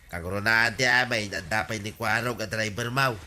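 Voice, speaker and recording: A man's voice: on the low side, fairly even intonation, moderate pace, sharp tone, a little hiss or hum. A male actor playing a folksy village pal in an Ilocano radio comedy drama, chummy and colloquial, with an Ilocano accent. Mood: urging.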